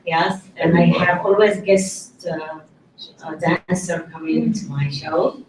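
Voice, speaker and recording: A woman's voice: fairly low pitch, slow. A chatty woman from Syria with an Arabic accent, speaking slightly broken English as she introduces herself at a meeting. She sounds distant, picked up by a far-off camera in a very echoey rehearsal room with faint background noise. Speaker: belly dance instructor. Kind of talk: casual group introduction. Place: large rehearsal studio, indoors.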